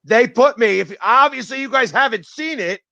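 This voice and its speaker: A man with a Philadelphia accent hosting a casual sports chat, loud and boastful as he teases his guests. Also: very high-pitched, expressive, normal speed, creaky, no room sound, muffled recording. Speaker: host.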